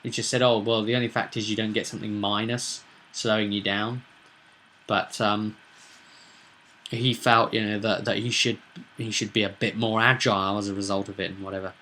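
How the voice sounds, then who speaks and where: A man's voice: on the low side, little pitch movement, moderate pace, pushed and bright, slight background noise. Casual, rambling man explaining a tabletop game. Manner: conversational, rambling, with frequent asides to the listener. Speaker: tabletop roleplay vlog host.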